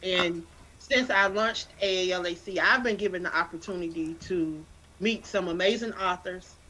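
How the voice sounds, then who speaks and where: A woman from South Carolina with a Southern accent talks animatedly as an interview guest. She often repeats words as she builds up a point, with a preacherly drive. Her voice sounds bright and forceful. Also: lowish voice, normal speed, rough recording. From Anderson, South Carolina. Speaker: guest, literacy campaign founder. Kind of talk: casual interview talk.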